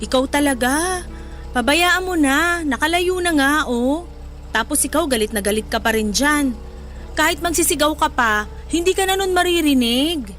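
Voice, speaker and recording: An actress playing a sensitive, chiding young wife with a Filipino accent in emotional, colloquial radio drama dialogue. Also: female voice, high-pitched, moderate pace, a bit bright, soft background music. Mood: soothing, teasing.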